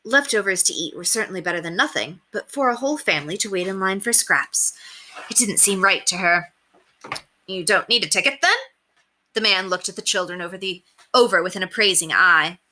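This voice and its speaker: A woman narrating a children's story aloud in an expressive read-aloud style. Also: mid-range voice, fairly quick.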